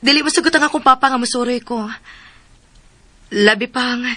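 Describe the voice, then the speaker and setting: Radio drama: polite, shy young woman, fairly high, clear-edged bright tone. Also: brisk, dry recording. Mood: curious.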